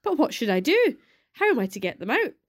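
Woman acting a high-pitched, desperate farmer's housewife. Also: big pitch swings, fairly quick, full character voice. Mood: anxious. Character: distressed, weary farmer's housewife crying out for help. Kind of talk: storybook character reading.

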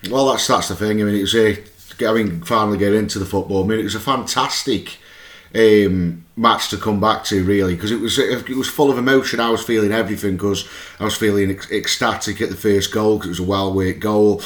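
Hoarse-voiced man hosting a fan podcast. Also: on the low side, fairly even intonation, brisk, a bit bright, echoey room. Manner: chatty and eager, with restarts. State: hoarse, losing his voice. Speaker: podcast host. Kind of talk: casual fan podcast chat.

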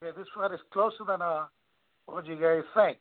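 A man's voice: high voice, moderate pace. A man making fight picks in casual chat, emphatic and blunt, swearing casually. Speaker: panelist. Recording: tinny phone-line audio.

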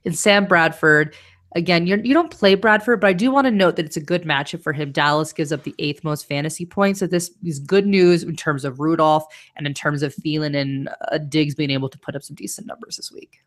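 A woman's voice: low-pitched, a little fast. A woman hosting a fantasy football podcast in casual chat, giving confident, firm advice.

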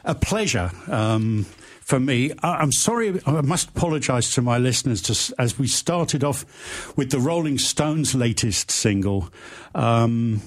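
A man's voice: mid-range voice, expressive, unhurried. Enthusiastic male radio host. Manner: enthusiastic, hesitant with fillers and restarts. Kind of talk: radio interview. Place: radio studio. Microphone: studio microphone.

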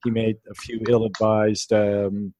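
A man hosting a casual sports chat, speaking haltingly with many "uh" fillers. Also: on the low side, little pitch movement, dark tone.

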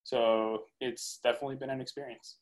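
Young male student panelist from Colombia, native Spanish speaker, hesitant casual delivery, flat intonation. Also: mid-range voice, brisk. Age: young adult. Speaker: veterinary student, podcast guest.

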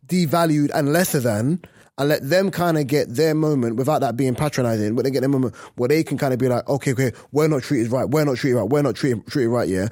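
A man co-hosting a casual podcast, talking in an emphatic, animated way. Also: mid-range voice, no echo, clean studio sound. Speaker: podcast co-host.

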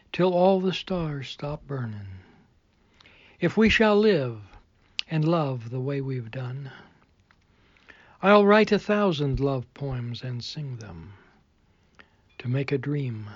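A man reciting his own poetry in a measured, expressive way, with a husky, breathy voice. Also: mid-range voice, dry recording, muffled recording. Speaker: poet reading own work.

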